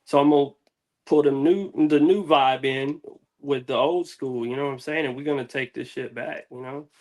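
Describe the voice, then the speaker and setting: A man's voice: medium pitch, moderate pace, darkish tone. Slangy American man in casual interview. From United States. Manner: slangy, profane, peppered with "man" and "dude". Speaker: music artist being interviewed.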